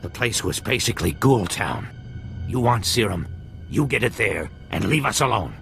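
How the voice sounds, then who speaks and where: An actor playing a cagey, sarcastic pirate doctor full of bluster in a video game, with music behind. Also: male voice, fairly low pitch, very animated, normal speed, creaky, full character voice. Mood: defensive, sarcastic. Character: sardonic pirate doctor, cagey, bluffing, threatening lowlife.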